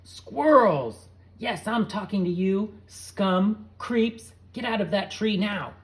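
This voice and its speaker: A man's voice: high-pitched, animated, slow pace, voice pushed up. A man voicing a lazy, grumpy old male dog who whines and shrieks. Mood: shrieking. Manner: whiny, shrieking.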